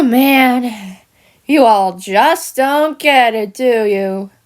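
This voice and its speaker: A woman voicing a jealous, smugly sinister female nightmare critter in a theatrical, taunting, mock-sweet cartoon monologue. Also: a little high. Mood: menacing, taunting. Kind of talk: scripted fan-made cartoon character monologue.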